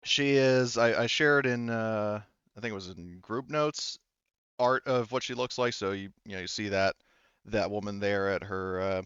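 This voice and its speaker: A man narrating a tabletop game as game master in a halting, informal, improvised way. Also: lowish voice, fairly bright tone, clean tone, no room sound, muffled recording. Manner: halting, informal, swears casually.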